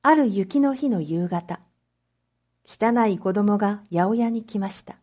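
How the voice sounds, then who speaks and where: A woman narrating a scripted story as a teacher for language learners, reading carefully in clear phrases. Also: medium pitch, expressive, a little slow, mellow. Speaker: teacher narrating read-aloud material.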